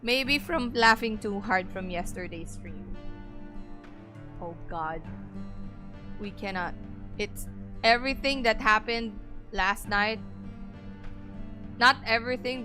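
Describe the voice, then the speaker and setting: Woman streamer, young, raspy, bright voice, slow chatty talk, faint music. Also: medium pitch. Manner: chatty, greets chat, laughs often. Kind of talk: casual live-stream chat while gaming.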